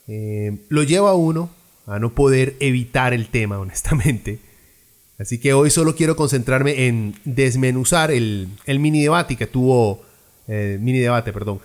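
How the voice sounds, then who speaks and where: A man from Peru hosting a podcast, who delivers opinionated political commentary in a blunt, sarcastic way with frequent fillers. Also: mid-range voice. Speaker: podcast host.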